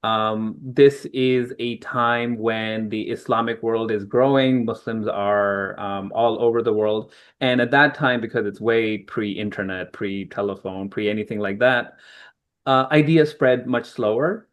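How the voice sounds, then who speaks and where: A man's voice: fairly low pitch, unhurried. Thoughtful middle-aged man from Pakistan. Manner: thoughtful, explanatory, with frequent ums and restarts. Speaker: author guest. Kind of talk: conversational interview answers.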